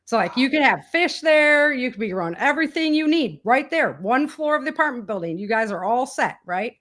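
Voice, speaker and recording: An American woman chatting casually as an interview guest, telling stories in an animated way and acting out bits of dialogue. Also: sits high, a little fast. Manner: animated storytelling, quoting imagined dialogue. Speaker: guest, author.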